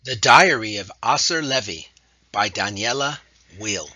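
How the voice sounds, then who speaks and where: A man announcing award categories and book titles in a slow, measured way. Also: fairly low pitch, expressive, sharp tone, slightly rough, no echo. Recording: dull lo-fi dry recording.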